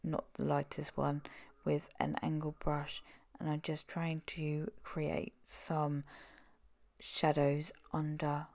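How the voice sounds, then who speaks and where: Woman with a cold, husky and deeply gravelly, in a dry close voice-over for a makeup tutorial. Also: completely flat delivery, slow pace, darkish tone, no room sound. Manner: chatty and self-deprecating, with frequent ums. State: has a cold. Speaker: makeup vlogger.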